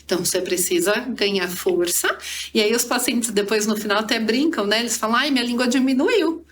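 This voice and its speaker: A woman's voice: fairly high pitch, brisk. Woman explaining, with noticeable background noise. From Brazil. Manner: didactic, with frequent tag questions and diminutives. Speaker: guest speech therapist. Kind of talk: conversational explanation on a podcast.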